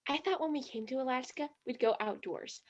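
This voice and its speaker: A woman's voice: sits high, a little fast, muffled recording. An actress in a stage play playing a disgruntled, sarcastic young tourist who complains in exasperation about her trip. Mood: sulky.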